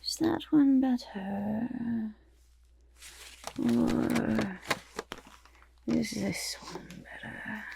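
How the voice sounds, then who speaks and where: Woman as whispering, gravelly, vain goblin artist. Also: on the high side, dark tone, a little hiss or hum, full character voice. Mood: fussy, musing. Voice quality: breathy whisper mixed with gravelly creak. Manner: third-person self-praise, pompous drawn-out words. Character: vain, excitable goblin artist, chaotic and boastful. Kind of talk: ASMR roleplay, much whispering.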